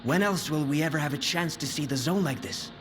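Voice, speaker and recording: An actor playing an eager young guide in the Zone who speaks in an earnest, driven way. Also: male voice, fairly high pitch, brisk, noisy background, natural voice. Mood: determined.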